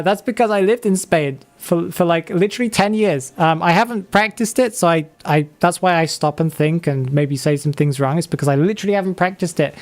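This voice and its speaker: A man in his twenties chatting casually on a live game stream, reading chat and laughing often. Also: fairly high pitch. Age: 20s.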